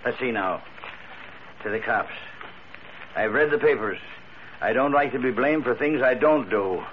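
An actor playing a gruff, grumpy, sarcastic police sergeant in a 1940s radio drama. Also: male voice, medium pitch, measured pace, lightly acted. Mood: blustery. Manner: blustery, sarcastic, working-class grammar. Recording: dull, noisy old radio recording.

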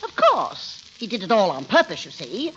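A woman's voice: mid-range voice, huge pitch swings, moderate pace, sharp tone. An actress playing a scatterbrained, giggly middle-aged society mother with a fluttery, theatrical manner in a 1940s radio comedy. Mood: plaintive. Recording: muffled, noisy 1940s radio recording.

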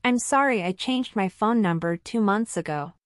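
A woman's voice: mid-range voice, animated, normal speed, no room sound, studio quality, lightly acted. A woman playing a polite married citizenship applicant in a scripted practice interview, answering formally and carefully in full sentences. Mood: polite, composed.

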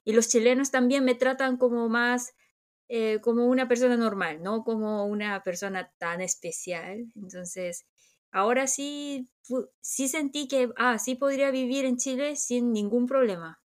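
A woman's voice: a little high, a little slow. Woman, Korean accent, Korean native speaker, co-host, reflective, searches for words. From South Korea. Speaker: podcast co-host. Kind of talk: casual chat.

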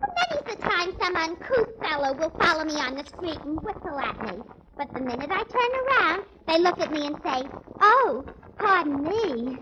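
A squeaky high indignant new girl, uncultured, 40s radio comedy, noisy recording. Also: very animated, moderate pace, dull audio. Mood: boastful. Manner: indignant, ungrammatical. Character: brash, vain, uncultured young single woman. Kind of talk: 1940s radio comedy acting.

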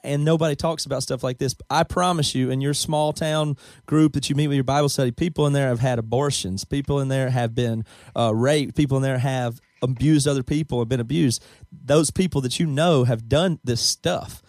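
A man's voice: mid-range voice, darkish tone, no room sound, studio quality. A man hosting a podcast, speaking emphatically and pressing his point in casual talk. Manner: emphatic, ranting. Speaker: podcast host. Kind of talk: casual chat.

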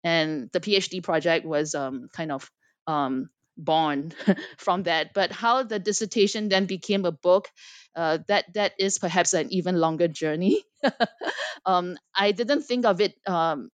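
A woman's voice: on the low side, measured pace, no room sound. A woman from Singapore in her forties, an author answering interview questions thoughtfully, with many ums and restarts. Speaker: guest author. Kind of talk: academic interview.

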